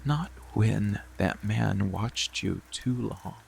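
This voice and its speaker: A dark, seductive male monster played by a man murmurs in a low, heavily creaking voice over rain and music. He speaks extremely slowly, breathy and often whispering, as a possessive, protective lover in an intimate ASMR roleplay. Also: full character voice. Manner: slow, deliberate, coaxing, with long pauses.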